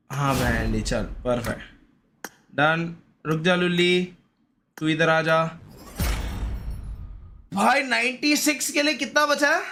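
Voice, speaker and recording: High-pitched man streaming a game. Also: pushed and bright, a little hiss or hum. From India. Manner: slangy, pleading, repeats short phrases. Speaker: gaming streamer. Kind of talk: casual live gaming commentary.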